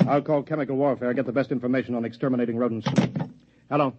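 Commanding government chief played by a man, anxious, clipped, official, medium pitch, 1950s radio acting, crackly noisy recording. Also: steady tone, fast, natural voice. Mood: grave, urgent. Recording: noisy, dull old radio recording with clicks.

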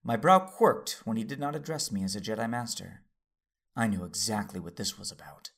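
An actor playing a calm, tender Jedi master, narrating in first person with a measured, formal manner and a gravelly, husky voice. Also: male voice, on the low side. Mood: composed.

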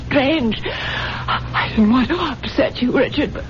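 An actress playing a dreamy, fragile, theatrical wife in a 1970s radio drama, with halting, drawn-out delivery. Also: female voice, high-pitched. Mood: sick, drowsy. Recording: muffled 1970s radio broadcast with music.

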